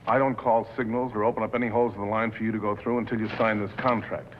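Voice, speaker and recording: An American man acting as a brisk, pushy, persuasive show-business manager in a 1950s film drama. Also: lowish voice, level tone, lightly acted. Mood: brisk, bossy. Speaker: actor in a feature film. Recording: dull vintage film soundtrack, faint noise.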